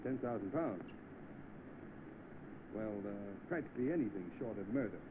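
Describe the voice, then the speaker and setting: An actor playing an unruffled, wry gentleman, dryly polite in the manner of 1930s film acting. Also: fairly low pitch, expressive, normal speed, lightly acted. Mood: politely wry. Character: cool, wry wealthy gentleman posing as accomplice. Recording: noisy, tinny old film soundtrack.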